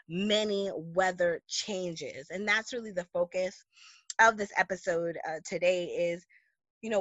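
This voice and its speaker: A woman's voice: on the low side, bright, forceful tone, no echo, highs cut off. American woman podcast host, dry close sound. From New York and Florida, US. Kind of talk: reflective solo podcast talk.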